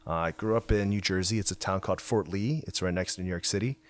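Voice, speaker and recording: A man's voice: low-pitched, fast. A young man who grew up in China, talking casually and thoughtfully about his path as a founder. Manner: relaxed, reflective, uses many fillers. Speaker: hacker house founder. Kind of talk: casual interview chat. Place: house in Waterloo.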